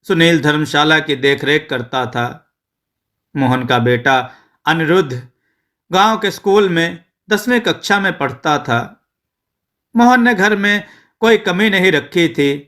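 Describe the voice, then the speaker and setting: A man tells a scripted moral tale at an unhurried pace. His voice is bright and forceful, sitting a little high for a man, and each phrase is measured and clearly spoken. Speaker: storyteller. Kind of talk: scripted moral story narration.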